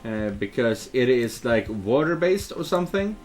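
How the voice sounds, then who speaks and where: A man's voice: mid-range voice, big pitch swings, moderate pace, soft background music. A man giving casual let's play commentary, hesitant and thinking aloud as he reads game text. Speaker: video game let's player and dubber.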